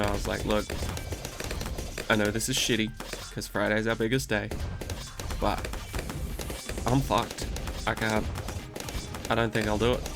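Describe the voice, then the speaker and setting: Man, stuffy nose, nasal, rambling casual chat, swears, music underneath. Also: fairly low pitch. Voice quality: nasal, congested from a cold. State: recovering from a cold, stuffy nose. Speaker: gaming channel host. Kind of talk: casual commentary over gameplay.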